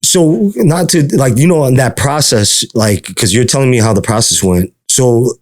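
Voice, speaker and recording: A middle-aged man hosting a podcast, asking questions in a relaxed, slangy way. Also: mid-range voice, normal speed. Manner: laid-back, slangy reactions. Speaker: podcast host. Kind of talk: casual podcast interview. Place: small dark podcast studio. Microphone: studio mic on boom arm.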